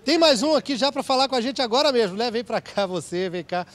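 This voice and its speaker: A man's voice: extremely high pitch, expressive, fast, fairly bright tone. A Brazilian man working as a TV reporter, informally interviewing people live in a public square. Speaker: TV reporter hosting audience participation. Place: outdoor public square, on a small stage. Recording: outdoor TV recording with faint street noise.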